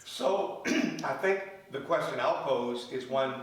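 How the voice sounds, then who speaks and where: An older man, a bishop, hosts an interview in a measured way and clears his throat often. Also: medium pitch. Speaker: bishop hosting a diocesan interview show. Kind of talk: interview hosting. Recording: echoey voice from a distant mic.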